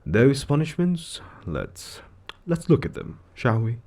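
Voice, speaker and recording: A man's voice: medium pitch, very animated, slow pace, mellow, exaggerated voice. A man voicing a suave, gloating devil lord in an audio roleplay, theatrical and condescending, savoring his words as he toys with a mortal. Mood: officious, taunting. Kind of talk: scripted audio roleplay monologue.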